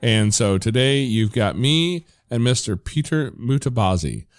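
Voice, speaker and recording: A man hosting a podcast, chatting casually in a relaxed, rambling way that often corrects itself mid-sentence. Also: medium pitch, unhurried, dry recording, clean studio sound. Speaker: podcast host. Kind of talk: casual chat.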